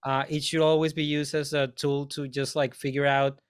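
A man speaks as a film composer on a discussion panel. His voice is smooth and resonant, pitched a little high for a man, and he meanders through his answers with lots of fillers and restarts. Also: little pitch movement, normal speed. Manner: rambling, many fillers and restarts. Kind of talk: casual panel talk.

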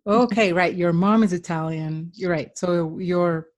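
A woman's voice: lowish voice, measured pace. A woman hosting a podcast, encouraging her guest and laughing often, then reading the show's outro. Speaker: podcast host.

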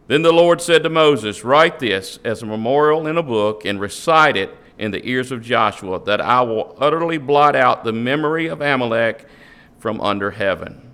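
A man reading a Bible passage aloud to a church congregation, steady and measured. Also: on the low side, smooth. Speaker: scripture reader.

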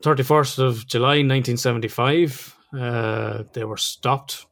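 A man's voice: medium pitch, a little slow, vocal fry, fairly bright tone. A man with an Irish accent, co-hosting a podcast in a casual, chatty way, laughing often and swearing now and then. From Ireland. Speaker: podcast co-host.

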